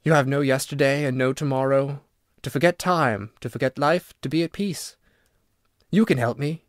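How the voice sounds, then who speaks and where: A man's voice: medium pitch, moderate pace, no room sound, studio quality, close to an everyday voice. A man as a mournful, weary ancient ghost. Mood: wistful, solemn. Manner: dreamy, sighing, mournful. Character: weary, sorrowful old male ghost, centuries old. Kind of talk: storybook narration character.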